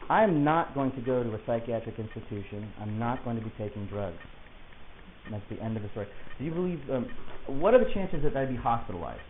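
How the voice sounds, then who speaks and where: A man's voice: mid-range voice, lively intonation, quick pace. A man speaking as a client to his lawyer, arguing his points insistently and in articulate detail. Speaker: client of a public defender. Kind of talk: argumentative conversation. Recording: hissy, muffled phone-like audio, echoey room.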